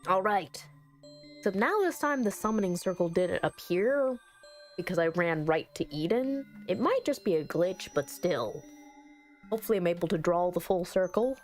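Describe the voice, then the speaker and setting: A woman streamer plays through a story game, commenting as she goes. Her delivery is lively, with pitch that jumps around as she exclaims at the story. Faint music plays behind her voice. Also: moderate pace. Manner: animated, exclaiming reactions to the story. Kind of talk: let's play commentary, reading game text aloud.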